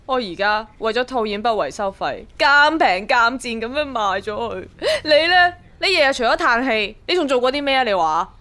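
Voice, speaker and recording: A woman's voice: on the high side, animated, moderate pace, hardly any pauses, sharp tone. An actress playing a pushy, scheming young woman with a Hong Kong accent, nagging emphatically in a radio drama. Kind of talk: Cantonese radio drama acting.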